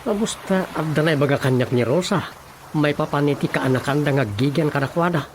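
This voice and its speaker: A man's voice: a little high, brisk, noisy background, lightly acted. A man playing a concerned villager in a Filipino radio drama, speaking in an inquiring, conversational way. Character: concerned male villager consulting the chairman.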